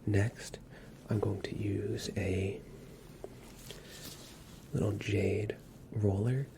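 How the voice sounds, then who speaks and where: A man whispering softly and soothingly as a reassuring doctor in an ASMR exam roleplay. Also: fairly low pitch, level tone, very slow, background noise. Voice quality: whispered, breathy soft voice. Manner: gentle, soothing, reassuring instructions. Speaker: ASMR performer playing a doctor.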